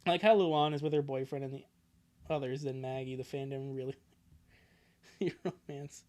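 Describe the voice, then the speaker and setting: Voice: medium pitch, fairly even intonation, moderate pace, darkish tone. A streamer chatting casually with viewers in a self-mocking, giggly way, swearing now and then. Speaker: livestreamer. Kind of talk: casual livestream chat, joking with viewers and reading their comments.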